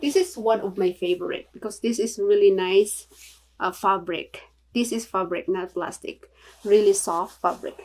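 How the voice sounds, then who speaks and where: A woman from the Philippines with a Filipino accent, chatting casually in a home video tutorial, halting and apologetic with many fillers. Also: mid-range voice, a little mellow. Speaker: vlogger. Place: small home room.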